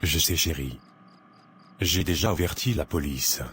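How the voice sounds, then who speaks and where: Deep, soothing pastor father played by a man. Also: a bit bright, slight background noise. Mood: reassuring. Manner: soothing, measured. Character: calm, reassuring pastor father with steady faith.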